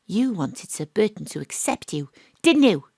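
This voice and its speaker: A shrewd female private eye with a lilt, coolly laying out the facts. Her voice sits at a medium pitch and sounds close and dry, with no room around it. She is sure of herself and gently persuasive. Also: very animated, normal speed, gravelly, breathy edge, no echo, exaggerated voice. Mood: probing. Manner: confident, probing, coolly persuasive. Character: sharp, knowing female private detective. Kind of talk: audio drama acting. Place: home studio.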